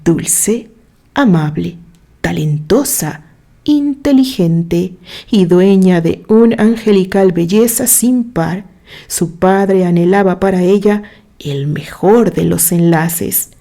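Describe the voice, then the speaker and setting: A woman narrating a scripted story in a gentle, sentimental way. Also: lowish voice, slow pace.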